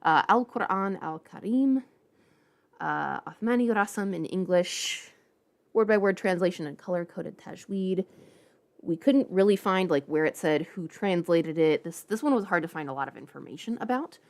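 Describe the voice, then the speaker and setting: Female streamer, slightly low voice, informal and playful, talking off the cuff to viewers. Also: lively intonation, normal speed, gravelly. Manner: playful, informal, chatty asides. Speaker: livestream host. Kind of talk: casual livestream chat.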